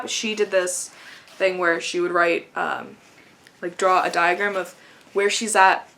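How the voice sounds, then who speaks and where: A woman's voice: fairly low pitch, a bit bright. A young woman chatting casually to camera, rambling with frequent laughs. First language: English. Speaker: vlogger.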